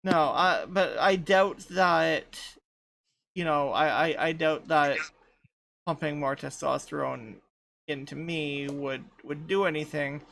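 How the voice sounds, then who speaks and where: A woman in her thirties in casual group chat, light voice without chest resonance, talking haltingly with many restarts. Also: low-pitched, gravelly, a bit bright, slight background noise. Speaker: podcast panelist.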